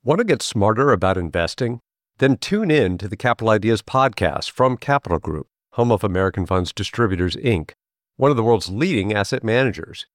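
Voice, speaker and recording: A man's voice: lowish voice, lively intonation, fairly quick, no room sound, clean studio sound. A man reading a scripted promotional spot as a commercial announcer.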